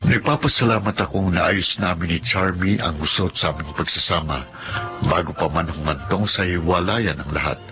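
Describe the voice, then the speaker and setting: A man's voice: sits low, moderate pace, over loud music, lightly acted. A man with a Filipino accent playing an earnest, firm young husband in a radio drama. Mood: reflective.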